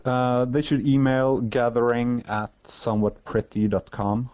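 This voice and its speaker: A man giving practical details as an interview guest, casual and deliberate, a little halting, on a muffled recording. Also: mid-range voice, little pitch movement, dry recording. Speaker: interview guest, event organizer. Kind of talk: casual informational interview talk.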